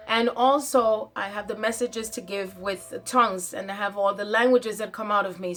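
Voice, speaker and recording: Woman doing an emphatic spiritual talk to camera, says things twice, mid-pitched voice. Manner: emphatic, repeats phrases, declarative. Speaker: channel host.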